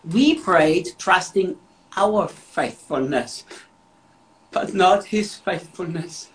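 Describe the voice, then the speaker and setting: A man's voice: high-pitched, extremely slow, a bit bright, rough recording. Emphatic man preaching. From Austria. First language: German. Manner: emphatic, repeats words for stress. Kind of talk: devotional sermon with interpreter.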